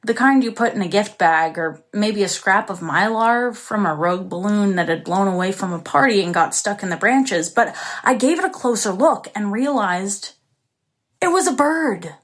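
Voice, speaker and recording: A woman's voice: mid-range voice, lively intonation, normal speed, a bit bright. An actress playing an isolated, anxious young woman who recounts her story in an intimate, confessional way for an audio drama. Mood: wondering. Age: young adult. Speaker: actress performing a monologue. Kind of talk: dramatic first-person audio drama narration.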